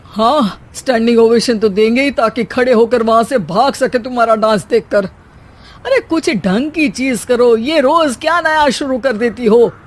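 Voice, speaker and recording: A woman's voice: a little high, moderate pace, close to an everyday voice. A woman voicing a sarcastic, grumbling elderly husband who teases and mocks in a comic family story. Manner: mocking, grumbling, drily teasing. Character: exasperated, sarcastic elderly husband and father-in-law.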